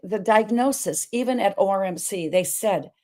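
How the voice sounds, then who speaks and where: Energetic woman host with a big voice. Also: medium pitch, moderate pace. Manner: energetic, emphatic, wandering between topics. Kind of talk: personal talk-show monologue.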